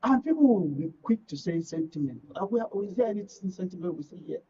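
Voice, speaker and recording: A man's voice: high-pitched, expressive, quick pace, mellow, far from the mic, a little hiss or hum. A Nigerian man giving political commentary as a panel guest, emphatic and prone to repeating his phrases. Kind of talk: animated panel discussion. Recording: dull audio, away from the mic.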